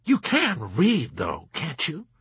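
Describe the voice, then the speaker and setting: A sneering army colonel, put on by a man, with a husky voice pitched high in mock sweetness, drawing out each taunt. It leaps around in pitch, and the sound is dry and close. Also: wildly swooping pitch, sharp tone, no echo, exaggerated voice. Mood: sarcastic. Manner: scornful, taunting, drawn-out sarcasm. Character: sarcastic, superior male army colonel interrogator.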